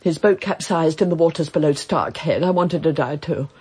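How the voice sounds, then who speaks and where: An actress in a radio drama playing an elderly widowed aristocrat, imperious and brisk, with a husky voice. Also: female voice, low-pitched, darkish tone. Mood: earnest. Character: elderly reclusive widowed aristocratic lady, commanding.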